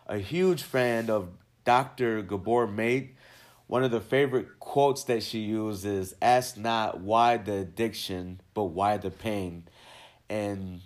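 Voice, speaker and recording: A man hosting a podcast, introducing his guest and asking questions with frequent fillers and pauses. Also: lowish voice. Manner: halting, with frequent uhs and ums. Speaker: podcast host.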